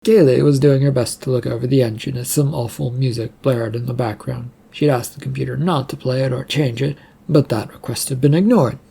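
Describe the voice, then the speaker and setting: A man's voice: medium pitch, fairly even intonation, brisk, creaky, dark tone, slightly rough. A man reading scripted fiction as a narrator.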